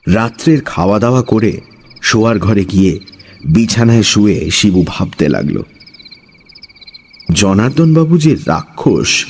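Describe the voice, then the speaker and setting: Slow, animated male horror storyteller. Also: low voice, a little hiss or hum. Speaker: storyteller, narrator. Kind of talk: dramatic scripted horror story narration.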